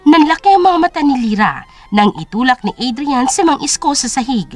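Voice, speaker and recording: A woman's voice: high-pitched, animated, brisk, darkish tone, faint music behind. A woman narrating a scripted story as a dramatic audio drama.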